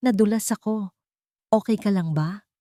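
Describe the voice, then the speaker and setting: A woman's voice: mid-range voice, moderate pace, warm tone, no room sound, studio quality, pitched above the performer's own voice, close to an everyday voice. A woman voicing a scheming rich wife with a Filipino accent and sugary, feigned niceness in an audio drama. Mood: feigned concern. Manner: feigned sugary concern, mixing English and Tagalog. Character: scheming rich wife, falsely sweet villainess.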